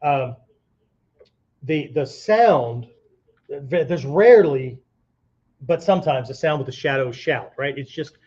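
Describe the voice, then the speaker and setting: A man's voice: a little high, animated, slow, long pauses, a little mellow, muffled recording. A man giving an informal martial arts lesson in an emphatic, conversational way, often checking in with the listener. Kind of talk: informal spoken lesson with vocal demonstrations.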